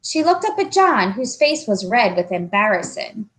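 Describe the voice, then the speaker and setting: A woman reading a children's story aloud as an expressive narrator. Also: medium pitch, normal speed. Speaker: storybook reader.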